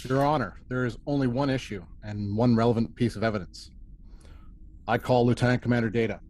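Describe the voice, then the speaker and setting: A medium-pitched male voice, formal and deliberate. A man acts out a stern starship first officer arguing as prosecutor in a sci-fi courtroom drama, read from a script. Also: steady tone, a little mellow, close to an everyday voice. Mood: probing.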